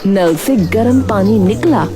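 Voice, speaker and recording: A woman's voice: mid-range voice, lively intonation, unhurried. A woman reading scripted storybook narration for a cartoon tale. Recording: music behind the voice. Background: music.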